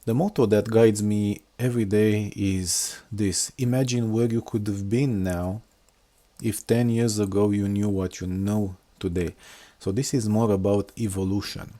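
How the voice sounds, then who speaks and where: A middle-aged software engineer from Romania talking unscripted through a screen walkthrough, with many hesitations and fillers. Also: male voice, fairly low pitch, steady tone, unhurried. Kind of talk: casual screen-recorded walkthrough.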